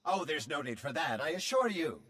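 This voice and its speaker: Cheerfully tactless droid. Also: male voice, on the high side, sing-song, fairly quick, fairly bright tone, husky, exaggerated voice. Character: chatty droid, cheerfully morbid, talkative. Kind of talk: video game voice acting.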